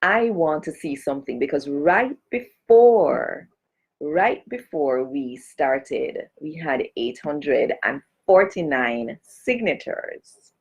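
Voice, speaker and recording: Slow, gravelly-voiced woman. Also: low voice, animated. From Jamaica. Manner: chatty, greets and addresses viewers directly, gives blessings. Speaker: cultural advocate hosting a live stream. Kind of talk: casual live-stream chat.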